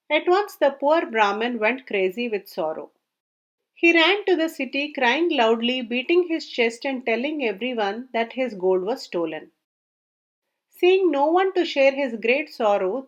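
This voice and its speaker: High-pitched woman narrating a story. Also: lo-fi sound.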